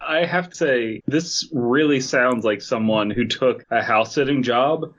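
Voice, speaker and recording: A man's voice: medium pitch, a little slow, a bit bright, muffled recording. A man co-hosting a podcast with casual, wry and crude joking banter. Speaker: podcast co-host.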